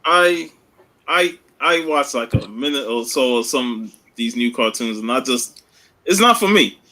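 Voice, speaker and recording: A man's voice: medium pitch, expressive, measured pace, sharp tone, rough recording. A man talking casually on a podcast panel, easygoing and colloquial, often tagging phrases with "you know". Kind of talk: casual chat.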